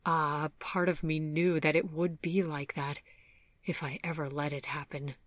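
A woman's voice: low-pitched, flat delivery, unhurried, no echo, studio quality. A woman voicing a remorseful, tormented male monster lover in an audiobook, pleading and intense. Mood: impassioned.

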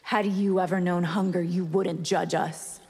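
Disdainful vampire, echoing. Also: female voice, lowish voice, fairly even intonation, a little slow, a little mellow, husky. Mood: disdainful, hungry. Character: hungry, disdainful female vampire predator. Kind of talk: video game fight intro dialogue. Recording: long echoing reverb.

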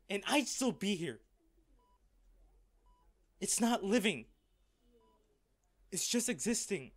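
A man acts out a rich, devoted man in anguish, his voice fairly high for a male. He speaks slowly and earnestly, with heavy pauses. It is an intimate audio drama performance full of feeling. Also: heavily acted. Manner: halting, emotional, earnest delivery with pauses. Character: wealthy man, devoted and distraught, confessing his love. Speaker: voice actor in audio roleplay.

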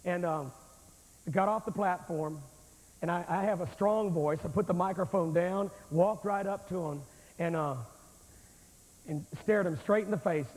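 A man in his forties preaching a revival sermon on a large church stage with a handheld microphone, telling a story in an animated, confrontational way. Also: high-pitched, measured pace. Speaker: evangelist preacher. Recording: long hall reverb, old VHS tape.

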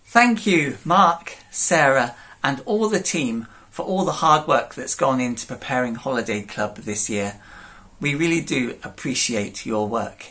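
A man in his forties with a mid-pitched voice leads his congregation in prayer. He speaks warmly and steadily, with the measured manner of a pastor. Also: lively intonation. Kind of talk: thanks and spoken prayer.

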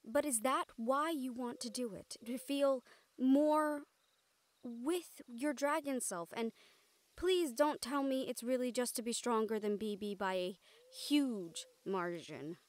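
Higher-voiced, tender woman playing a supernatural mentor. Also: slow, no room sound. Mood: tender, reassuring. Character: caring, encouraging supernatural woman, a siren-banshee mentor. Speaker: audio roleplay performer. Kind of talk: intimate audio roleplay acting.

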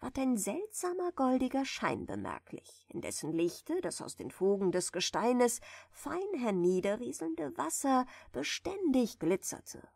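A woman softly reading a bedtime fairy tale in a gentle, soothing manner, at times close to a whisper. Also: on the high side, huge pitch swings, unhurried, gravelly, hardly any pauses, husky, dry recording. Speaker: audiobook reader, storyteller.

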